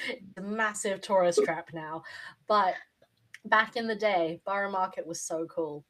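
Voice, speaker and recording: A woman's voice: medium pitch, a little slow, fairly bright tone, rough recording. A woman moderating a panel discussion in a casual, conversational style.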